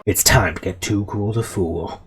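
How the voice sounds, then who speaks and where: Kindly heroic cheetah gentleman, played by a male narrator: deep gravel, polite stately delivery, storybook style over music. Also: measured pace. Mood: solemn, tender.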